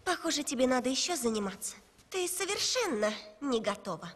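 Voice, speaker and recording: A woman playing a determined young girl who dances, earnest and defiant, in a dubbed animated film. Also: sits high, fairly quick, full character voice. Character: plucky, determined young orphan-like girl who loves dancing. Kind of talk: animated film dub acting.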